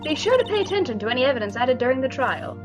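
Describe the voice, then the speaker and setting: A high female voice put on by a streamer plays a composed senior lawyer in a courtroom game. She instructs with animated, swinging intonation. Music plays clearly behind her. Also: racing. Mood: instructive. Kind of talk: voiced visual novel read-through on a game stream.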